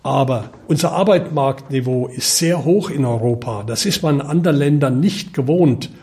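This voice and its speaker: A man's voice: medium pitch, normal speed, darkish tone, husky. A man who runs a training workshop, explaining in an interview, orderly and matter-of-fact. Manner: explanatory, listing things in an orderly way. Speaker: head of the refugee workshop.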